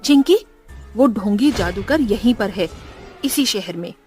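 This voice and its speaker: A woman's voice: mid-range voice, expressive, unhurried, a little mellow, close to an everyday voice. A woman voicing an earnest, emotional young village sister in a horror story, with dramatic delivery. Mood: urgent. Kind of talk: Hindi horror story acting. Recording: music behind.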